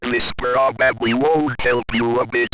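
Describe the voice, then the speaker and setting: Guy doing a deadpan warning announcer, flat and serious, loud music behind him. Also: medium pitch, unhurried, lightly acted. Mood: mock solemn. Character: deadpan male announcer reading mock content warning. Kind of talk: scripted warning narration.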